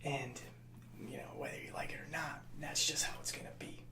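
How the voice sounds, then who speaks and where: A man playing a nice, easily hurt assistant to a gang leader in an ASMR roleplay, speaking softly with hesitant, placating stammers. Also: fairly high pitch, fairly even intonation, a little fast, gravelly, echoey room. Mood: concerned, matter-of-fact.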